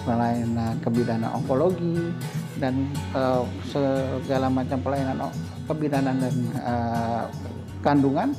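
A middle-aged man, a hospital director, explaining his clinic's services in a measured way, pausing often with fillers. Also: mid-range voice. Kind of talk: explanatory interview answers. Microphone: lapel mic. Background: background music.

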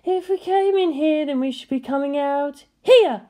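A flustered, bubbly woman captain as a female narrator plays her in an audiobook. Her voice sits high above a plain reading tone and tends to complain. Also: moderate pace, warm tone, lightly acted. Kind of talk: audiobook character voice.

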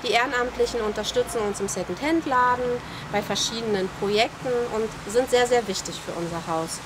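A woman's voice: fairly high pitch, a little fast, noisy background. Assured woman director outdoors. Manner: fluent, assured. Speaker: managing director of a community centre. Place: outdoors in a garden. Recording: outdoor ambience clearly audible.

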